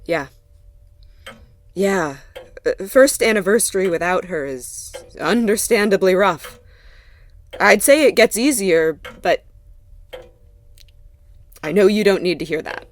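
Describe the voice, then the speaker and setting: An actress playing a wry, guarded woman in a naturalistic audio drama, talking haltingly and gently. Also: mid-range voice, animated, dry recording. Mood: gentle, careful. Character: Sophie, warm, wry, guarded woman mechanic.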